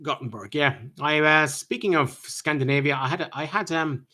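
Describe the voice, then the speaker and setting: An Irish man hosting a live-stream chat, answering viewers' questions in a halting way, with many ums and restarts. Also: a little high, sharp tone. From Ireland.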